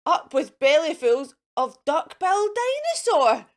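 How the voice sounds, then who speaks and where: Storybook casting: woman as grim, mean Tyrannosaurus warriors, emphatic slow chanters with big swings in delivery. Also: sits high, sing-song, voice pushed up. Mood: fierce, rousing. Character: mean, grim Tyrannosaurus pair shouting for war.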